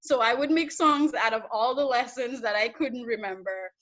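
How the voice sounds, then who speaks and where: A woman's voice: a little high, moderate pace. Young woman from Haiti, lo-fi video call. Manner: animated storyteller, many fillers. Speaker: research data analyst, guest. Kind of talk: casual interview chat. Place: small indoor room on a video call. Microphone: laptop webcam mic on video call. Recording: dull lo-fi video call audio.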